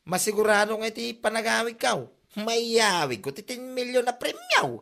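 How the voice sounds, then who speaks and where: A woman doing a bragging ilocano husband who daydreams about getting rich, highish voice. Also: big pitch swings, moderate pace, gravelly, a bit bright, exaggerated voice. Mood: boastful. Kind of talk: comedy drama acting.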